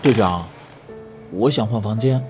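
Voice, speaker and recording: A man playing a sharp-tongued, irritable young idol in a Chinese audio drama, curt and grumbling. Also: lowish voice, a little slow, soft background music, close to an everyday voice.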